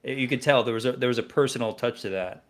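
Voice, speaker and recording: A man co-hosting a casual music podcast, joking and animated. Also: mid-range voice, fast talker. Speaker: co-host.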